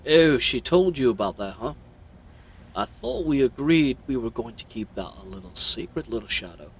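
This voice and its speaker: A man's voice: mid-range voice, lively intonation, moderate pace, a bit bright, slightly rough. A man voicing a smug, mocking villain with theatrical, condescending delivery in an audio roleplay. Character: smug, mocking male villain crime broker.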